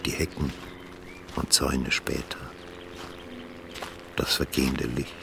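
Very deep, gravelly brooding man. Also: fairly even intonation, takes it slowly, noisy background, exaggerated voice. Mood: brooding, detached. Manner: terse, observational, detached. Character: brooding man dictating sparse notes on landscapes. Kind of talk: radio drama monologue in fragments.

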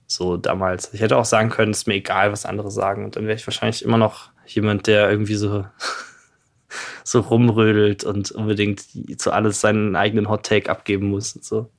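A man's voice: on the low side, flat delivery, fairly quick, gravelly. A man, chatting casually in a jokey, self-reflective way. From Freising, Bavaria, Germany. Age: 20s. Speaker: podcast subject and co-host. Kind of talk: casual chat.

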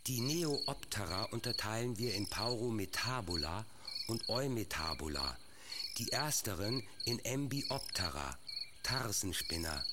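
A man's voice: fairly low pitch, animated, measured pace, very noisy. A man reading a scientific scripted narration for a sound-art piece, listing technical terms like a lecturer. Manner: enumerating Latin taxonomic terms like a lecture. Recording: loud electronic music and insect sounds underneath. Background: techno beats with chirping and buzzing insects.

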